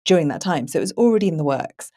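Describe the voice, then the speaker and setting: A woman's voice: low voice, lively intonation, very fast, a little mellow. A woman hosting a podcast, asking questions in a conversational interviewing style. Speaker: podcast host.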